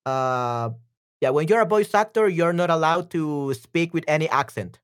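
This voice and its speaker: A voice actor with a Salvadoran accent giving a casual language lesson, chatty and repeating words slowly, often checking in with the listener. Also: mid-range voice, clean tone. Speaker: Spanish teacher and voice actor. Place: room with some echo.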